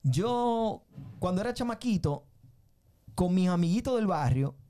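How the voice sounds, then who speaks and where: Guest spot: middle-aged Dominican guy, higher-pitched, mellow tone, lively storyteller. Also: unhurried. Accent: Dominican Spanish. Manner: animated, tag questions, repeats himself. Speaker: guest, filmmaker and musician. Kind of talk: casual interview storytelling.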